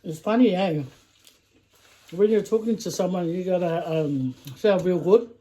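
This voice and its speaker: Giggly mellow-voiced middle-aged woman. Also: on the low side, unhurried, rough recording. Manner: chatty, giggly, talks while chewing. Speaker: mukbang vlogger, mother. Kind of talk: casual chat while eating.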